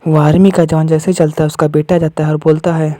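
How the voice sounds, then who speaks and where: A man's voice: fairly high pitch, flat delivery, fast talker, warm tone, no echo, clean studio sound. A man narrating an amateur cartoon story as the storyteller.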